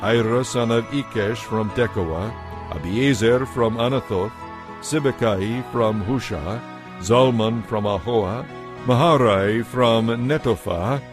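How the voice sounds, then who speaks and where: A man giving a scripted, dramatized narration of scripture as the narrator. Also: fairly low pitch.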